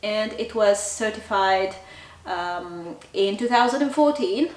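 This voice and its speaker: Female vlog host, thirties, mid-pitched, casual delivery, roomy distant-mic sound. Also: animated, slow. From Bulgaria. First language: Bulgarian. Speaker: language channel host. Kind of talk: casual talk to camera.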